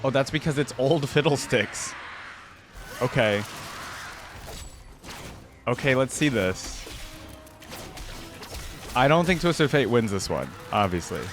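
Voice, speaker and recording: A man's voice: mid-range voice, lively intonation, slow pace, pauses often, faint music behind. A man hosting a reaction video, talking casually to viewers, musing aloud and reading game text.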